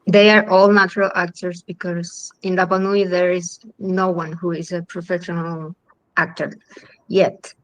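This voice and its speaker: A woman filmmaker from Chile with a Chilean Spanish accent, speaking English in a halting way full of ums and restarts. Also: fairly low pitch, dry recording, rough recording. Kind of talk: festival Q&A talk.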